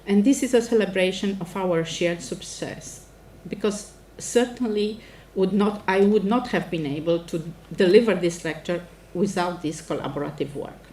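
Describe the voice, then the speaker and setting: A woman's voice: lowish voice, moderate pace, a little mellow, a little hiss or hum. A middle-aged woman from Romania with a Romanian accent, a native Romanian speaker, giving a formal academic address as a professor. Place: indoor lecture event before an audience.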